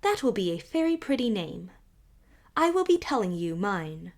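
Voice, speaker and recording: A proud old widow , as a woman puts her on, speaks with a rich, powerful voice and a lilting Highland accent. Her regal, formal phrasing carries a faintly strange air, and her delivery swings widely in pitch and lands louder than the storytelling around it. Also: sing-song, moderate pace. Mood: stately. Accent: Scottish Highland. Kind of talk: audiobook character reading.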